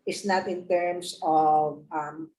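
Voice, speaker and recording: A woman's voice: low voice, steady tone, slow pace, warm tone, poor audio. A woman with a Filipino accent moderating an online workshop, speaking hesitantly with many fillers. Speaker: seminar moderator. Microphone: video call.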